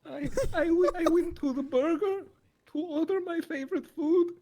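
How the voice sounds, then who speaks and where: A man playing a befuddled, childlike man in his eighties, halting and stammering in a wavering old voice during improvised roleplay. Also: extremely high pitch, crowd noise. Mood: anxious confession. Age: eighties, as played. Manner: halting, stammering, rambling, naive. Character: muddled, childlike eighty-two-year-old man testifying as a witness.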